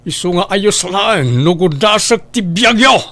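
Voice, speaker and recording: A man's voice: high voice, animated, measured pace, sharp tone, no echo, exaggerated voice. A man playing a cruel, greedy father in an audio drama, with a menacing, commanding manner. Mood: cold, scornful. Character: cruel, greedy gambling father threatening his son. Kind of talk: Ilocano audio drama acting.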